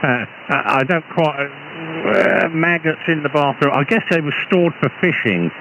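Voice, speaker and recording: A British man, an amateur radio operator, chatting casually over a shortwave radio link in a halting, hesitant way with repeated false starts. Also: a little high, expressive, pushed and bright. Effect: radio transmission. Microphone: radio transceiver, received over shortwave. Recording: muffled, noisy shortwave ham radio signal.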